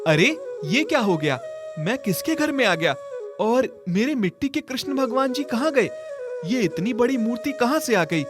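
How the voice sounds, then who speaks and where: An actor plays a poor orphan boy in a cartoon moral tale, devout and kind-hearted. He speaks with earnest wonder at a middle pitch, over clearly audible music. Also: very animated, moderate pace, natural voice. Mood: bewildered. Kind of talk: children's cartoon story dialogue.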